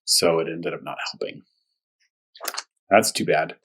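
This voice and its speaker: A male primary school teacher with a slightly low, rough-edged husky voice leads a storytime for young children. He speaks in plain, short phrases and often repeats words so that little listeners can keep up. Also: fairly even intonation, measured pace, a bit bright. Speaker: first grade teacher reading aloud. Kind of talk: storytime read-aloud and discussion for young children.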